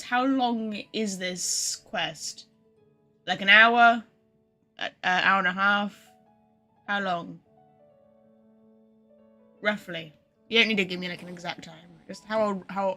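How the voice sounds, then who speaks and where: A woman with a bright, fairly high voice streams a game, with music playing behind her. She chats informally to her viewers and thinks out loud as she goes. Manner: chatty, informal, thinks aloud. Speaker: game livestreamer. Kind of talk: casual livestream chat with viewers.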